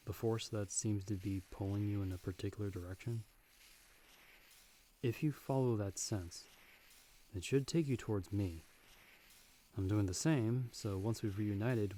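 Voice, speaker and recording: A man acting in an ASMR audio roleplay as a seasoned, magic-wielding monster hunter, talking the listener through danger in a measured, dry, reassuring way. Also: fairly low pitch, warm tone, slight background noise, lightly acted. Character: calm, seasoned male monster hunter with magic, guiding a partner.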